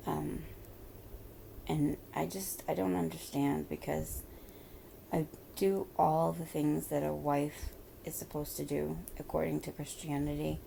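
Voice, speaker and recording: A hoarse woman in her thirties talking at home in a halting, rambling vlog, full of fillers. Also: low voice, level tone, warm tone, a little hiss or hum, low-quality recording. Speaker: vlogger. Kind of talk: personal confessional vlog.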